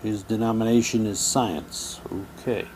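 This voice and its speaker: A man's voice: on the low side, a little slow, darkish tone. Casual man musing over noisy background. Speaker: reviewer showing mail-order radio drama props. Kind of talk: casual unboxing commentary, reading documents aloud. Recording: clear background noise, room ambience.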